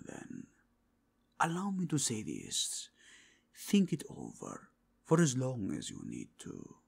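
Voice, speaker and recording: A man voicing a suave, probing cardinal of a fantasy Inquisition in an ASMR roleplay, measured and formal, with a breathy, whispery delivery. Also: mid-range voice, darkish tone, full character voice. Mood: courteous, persuasive.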